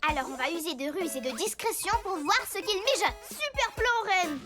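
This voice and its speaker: A woman's voice: very high-pitched, lively intonation, normal speed, music in the background. A woman voicing an earnest, eager young superhero schoolchild in a children's cartoon. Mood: determined. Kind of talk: children's cartoon dub acting.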